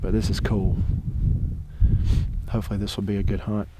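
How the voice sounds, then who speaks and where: A man's voice: on the low side, little pitch movement, moderate pace, noisy background. A young man hosting a hunting show, talking his plan through in a plainspoken, easygoing way from inside a small blind. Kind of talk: casual on-camera hunting talk.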